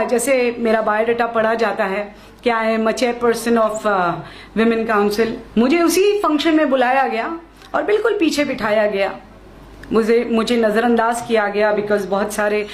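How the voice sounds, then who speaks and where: Woman from maharashtra speaking on a stage mic, highish voice, repeats herself for emphasis, echoey hall. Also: slight background noise. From Maharashtra, India. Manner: mixes Hindi with English phrases, repeats lines for emphasis. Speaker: lawyer giving a speech at a function. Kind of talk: public speech.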